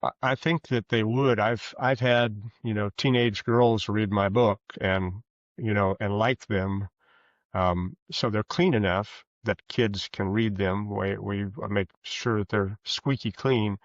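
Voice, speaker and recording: A man with a low, gravelly voice talks as a guest in an interview. He feels his way through sentences, pausing and restarting. The sound is close and dry but slightly dull. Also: steady tone, dry recording. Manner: hesitant, halting, self-correcting. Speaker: guest author. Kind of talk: conversational interview answers.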